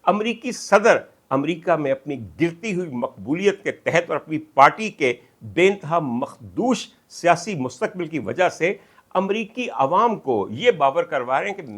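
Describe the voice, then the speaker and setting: A man with a Pakistani accent delivering political commentary as an emphatic, oratorical monologue, with dramatic pauses and piled-up lists. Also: on the high side, fairly bright tone. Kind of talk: rhetorical monologue of political analysis.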